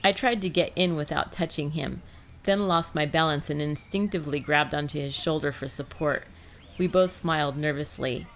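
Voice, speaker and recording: A woman's voice: low voice, normal speed, slight background noise. A woman reading scripted first-person fiction as an audiobook narrator.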